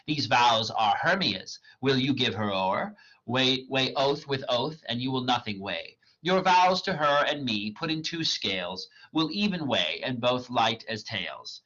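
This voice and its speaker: A man's voice: medium pitch, bright, forceful tone, voice pushed up. A man reading the part of a hurt, indignant young woman aloud. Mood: reproachful. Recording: dull lo-fi recording.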